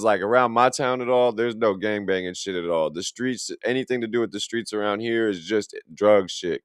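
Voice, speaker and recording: A man's voice: on the low side, clear, resonant tone, dry recording, studio quality. A young man, reacting to a video in blunt, profane, rambling casual commentary. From Illinois, USA. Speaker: reaction streamer.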